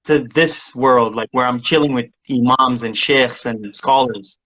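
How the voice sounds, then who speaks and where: A man's voice: mid-range voice, steady tone, a little slow, bright, forceful tone, rough recording. A man from Somalia, a music producer chatting casually as a guest and rambling with many restarts and laughs. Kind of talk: casual interview chat.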